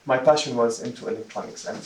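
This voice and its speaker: A man from Jordan giving a prepared personal speech to a club, speaking haltingly and repeating words. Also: medium pitch, a little hiss or hum. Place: meeting room, recorded from a distance. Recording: roomy echo, distant microphone.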